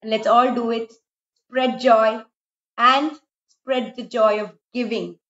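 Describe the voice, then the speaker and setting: A woman's voice: a little high, slow pace. Lady doing dramatic kids storytelling, echoey room, slightly muffled sound. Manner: dramatic, expressive, with emphatic repetition. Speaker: storyteller, host. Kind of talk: animated storytelling for children. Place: small room indoors at home. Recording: roomy, slightly dull home recording.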